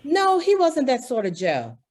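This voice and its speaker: A woman's voice: high-pitched, wildly swooping pitch, unhurried, darkish tone, heavily acted. A woman playing a harried, earnest department store executive in an old-time radio drama, exasperated and emphatic. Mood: exasperated, pleading.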